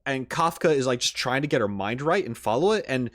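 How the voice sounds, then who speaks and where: A man's voice: mid-range voice, expressive, brisk, dry recording, studio quality. A man casually reacting to game trailers, rambling with lots of fillers, swearing now and then and getting enthusiastic. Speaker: reaction video creator.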